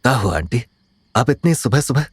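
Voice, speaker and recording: A man's voice: medium pitch, animated, normal speed, husky, dry recording. A man voicing an earnest, reassuring young man in an audio drama, soothing and respectful in a colloquial way. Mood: concerned. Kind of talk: Hindi audio drama acting.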